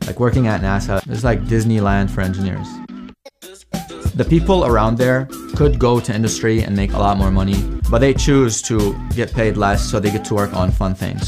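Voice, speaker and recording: A young man from Iraq casually telling his personal story in an informal, conversational way. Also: fairly low pitch. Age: young adult. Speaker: alumni testimonial speaker, PhD student. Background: music bed.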